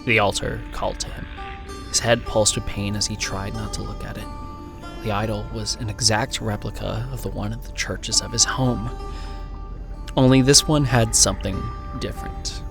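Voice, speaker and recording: A man's voice: lowish voice, fairly even intonation, vocal fry. A man narrating a horror story in a solemn, suspenseful, dramatic way. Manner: slow, solemn, suspenseful delivery. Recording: music behind the voice. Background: music.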